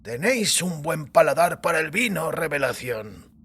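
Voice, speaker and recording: Guy doing a tired old priest in an audio drama, raspy, higher voice, polite and stubborn. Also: big pitch swings, normal speed, fairly bright tone, exaggerated voice. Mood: wistful. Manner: formal, courteous, archaic address. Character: aging male priest, weary, stubbornly faithful.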